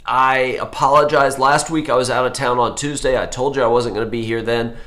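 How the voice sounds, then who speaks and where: A man in his forties, an orthopedic surgeon hosting a live health show, with a voice going hoarse from a cold, rambling and correcting himself with many fillers. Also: mid-range voice, fairly even intonation, a little fast, a bit bright. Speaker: host.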